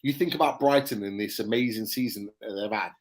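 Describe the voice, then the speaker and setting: A man's voice: on the low side, fairly quick. A man co-hosting a fan forum, talking confidently and sharing strong opinions. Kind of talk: casual fan chat.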